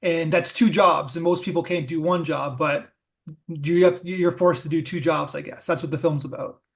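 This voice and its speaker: A man with a high voice for a man hosts a casual film interview. He circles his questions with many fillers and restarts before getting to the point. His talk is loose and conversational. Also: fairly even intonation, moderate pace, gravelly. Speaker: podcast host.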